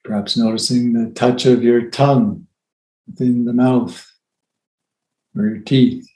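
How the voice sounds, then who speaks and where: A man leading a guided meditation as a teacher, with gentle, unhurried phrasing and long pauses. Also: mid-range voice, fairly even intonation. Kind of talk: guided meditation, softly spoken instruction. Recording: roomy, echoey sound.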